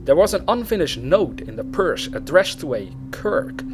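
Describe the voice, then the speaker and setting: A man's voice: on the high side, sing-song, moderate pace. A man narrating true crime as host of the series, reading aloud in a storytelling format. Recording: music behind the voice. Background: background music.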